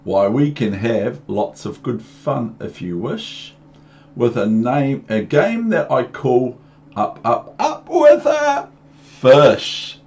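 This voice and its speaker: A man voicing a showy, playful, boastful cat from a children's storybook, with drawn-out, emphatic delivery. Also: medium pitch, very animated, a little mellow, lo-fi sound. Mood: reassuring, showy. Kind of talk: children's storybook read-aloud.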